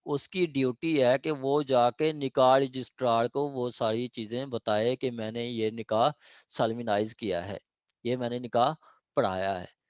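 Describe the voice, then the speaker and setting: A man's voice: mid-range voice, steady tone, a little slow, no echo, dull audio. A man with a Pakistani accent lecturing informally, explaining point by point with frequent check-in questions and repeated phrases. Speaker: law lecturer. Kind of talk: informal word-by-word lecture, mixing in English terms.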